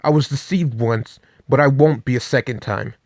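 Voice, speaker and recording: A man playing a stern, defiant resistance leader in an animated fan dub. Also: medium pitch, normal speed, no echo, studio quality, natural voice. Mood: grim, resolute. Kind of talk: dramatic fan dub acting.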